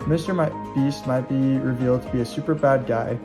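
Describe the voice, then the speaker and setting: A man reading scripted documentary narration in an earnest, steady way. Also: medium pitch, a little fast. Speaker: student narrator. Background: guitar music.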